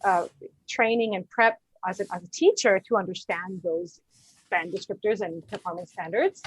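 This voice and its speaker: A woman from Vancouver with a Canadian accent, a guest English teacher explaining things in a relaxed conversational way with fillers. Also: mid-range voice, moderate pace, breathy edge, no room sound, poor audio. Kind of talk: casual podcast interview.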